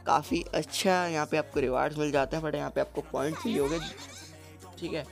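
A man, a gaming YouTuber talking through a game event as a voice-over, steady and explanatory, saying "okay" again and again. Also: medium pitch. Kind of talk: screen-recorded game guide.

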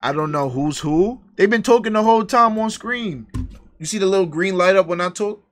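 A young man from the Bronx, hosting a livestream and joking loudly with crude slang into a headset. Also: high-pitched, brisk. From the Bronx, New York. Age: young adult. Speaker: livestream host. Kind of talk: casual livestream banter. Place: indoor room.

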